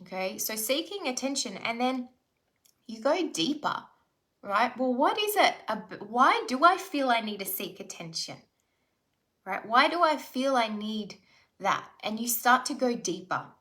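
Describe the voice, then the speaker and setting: A woman's voice: a little high, sing-song, unhurried, pushed and bright. A middle-aged woman leading a live online self-development workshop, talking conversationally and checking in with rhetorical questions. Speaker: spiritual life coach.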